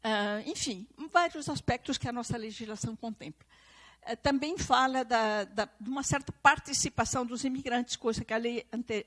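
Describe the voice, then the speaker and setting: Earnest southern Brazilian woman lecturing. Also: on the high side. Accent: Italian-Brazilian southern Brazilian. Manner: earnest, repeats and restarts phrases, emphatic. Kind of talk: public talk to a live audience and online viewers.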